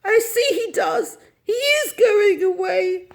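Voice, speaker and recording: A haughty, fussy lady of means from a storybook. Her voice leaps very high and swings widely. She is indignant and imperious, with theatrical, wailing flourishes. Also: expressive, slow, gravelly, full character voice. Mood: tearful, wailing. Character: haughty, fussy, melodramatic well-to-do lady dog owner.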